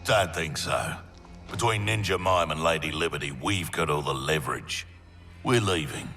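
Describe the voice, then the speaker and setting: Gravelly, cocky mercenary villain. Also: male voice, rumbling low, normal speed, pushed and bright, faint music behind, full character voice. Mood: smug. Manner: taunting, sneering. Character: gruff, cocky, menacing male mercenary villain. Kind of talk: video game cinematic acting.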